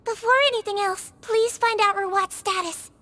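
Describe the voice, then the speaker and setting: A woman voicing a small, gentle, non-human girl nurse in a game, polite and earnest. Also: very high voice, steady tone, normal speed, over music. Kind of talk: game cutscene voice acting.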